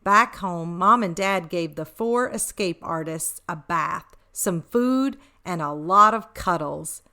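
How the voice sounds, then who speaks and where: Bright, unhurried woman reading a children's story. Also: on the low side. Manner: expressive, addresses young listeners with questions. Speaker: children's storybook reader and show host. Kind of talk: read-aloud storytelling for children.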